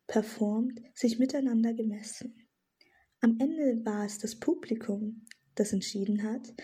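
A woman narrating a scripted voice-over in a calm, measured way. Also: a little high, breathy edge.

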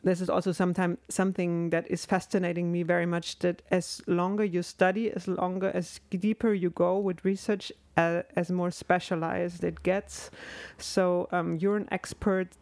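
A woman's voice: fairly low pitch, little pitch movement, moderate pace, few pauses, a little mellow, no room sound. A woman interviewing casually, hesitant and searching for her words with many fillers. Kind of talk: casual conversation.

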